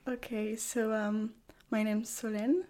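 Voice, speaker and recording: A woman's voice: fairly high pitch, level tone, slow pace, mellow. Hesitant young French woman. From France. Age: 20s. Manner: hesitant, many fillers and restarts. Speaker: guest, English language student. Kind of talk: casual podcast conversation.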